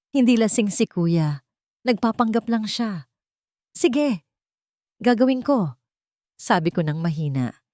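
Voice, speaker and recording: A woman's voice: mid-range voice, darkish tone, dry recording, studio quality. A woman narrating a scripted romance story in the first person, in a dramatic style.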